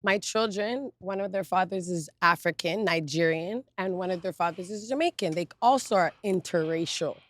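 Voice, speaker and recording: A woman's voice: lowish voice, normal speed, hardly any pauses, no echo. A woman from Canada, a chatty panel guest with many fillers, in a casual group discussion.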